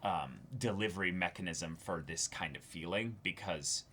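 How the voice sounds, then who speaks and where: A man's voice: deep voice, moderate pace, bright, forceful tone. A man co-hosting a reaction show, talking earnestly and effusively in casual discussion, often repeating words as he searches for the next one.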